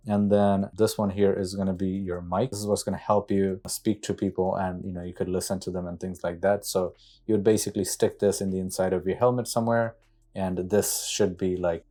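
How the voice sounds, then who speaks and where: Guy with a low soft mellow voice doing a casual unboxing, kinda figuring it out as he talks. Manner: tentative, thinking aloud while handling parts. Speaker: product reviewer, motorcycle rider. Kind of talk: casual unboxing walkthrough, talking to viewers.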